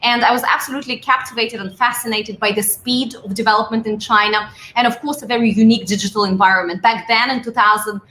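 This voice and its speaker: A woman's voice: on the high side, steady tone, quick pace, hardly any pauses, bright, forceful tone. A woman from Russia, guest marketing expert on a live online interview, speaking in an enthusiastic, articulate way. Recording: echoey room with faint hiss.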